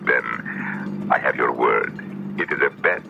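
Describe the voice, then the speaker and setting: An actor playing a smug, menacing demon-worshipping author in a 1970s radio drama, speaking in formal, deliberate, theatrical phrases. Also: male voice, extremely low pitch, vocal fry, over music, exaggerated voice. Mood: menacing, challenging. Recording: dull, hissy 1970s radio recording.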